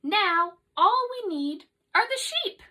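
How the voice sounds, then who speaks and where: A chatty, confident storybook sheep, acted out by a woman reader, with a high, bright voice. She is sure of herself and eager to help, and her pitch swoops freely as she takes charge. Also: expressive, takes it slowly, full character voice. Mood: confident, bossy. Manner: self-assured, eager to help. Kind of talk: children's storybook read-aloud.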